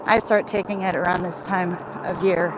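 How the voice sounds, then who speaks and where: A woman's voice: fairly low pitch, steady tone, moderate pace, heavy background noise. An American woman chatting casually and in a rambling way with livestream viewers, answering comments as she walks outdoors. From Michigan, United States. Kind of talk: casual livestream chat while walking.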